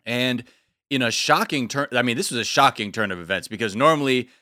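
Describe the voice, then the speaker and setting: A man hosting a podcast in casual, comedic news chat, animated and slangy, slipping into mocking acted-out quotes. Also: mid-range voice, fairly quick, no room sound, studio quality. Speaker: podcast host.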